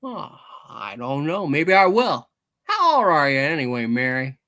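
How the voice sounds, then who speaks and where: A man's voice: a little high, huge pitch swings, measured pace, pushed and bright, exaggerated voice. A man playing an earnest, restless, dreamy young small-town man in a hammed-up radio play reading, eager and theatrical. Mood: giddy, boyish.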